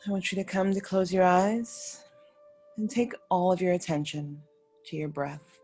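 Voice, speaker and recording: A woman leading a guided meditation as a yoga nidra teacher, speaking in soothing, unhurried short phrases with pauses between them. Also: fairly low pitch, mellow. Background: faint music.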